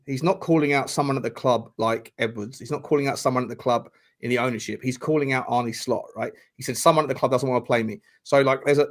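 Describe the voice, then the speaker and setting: A man co-hosting a football podcast, animated and long-winded, tagging his points with "right?" and "mate". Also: mid-range voice. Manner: animated, explains at length, says "mate" and "right". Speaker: podcast co-host. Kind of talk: casual football chat.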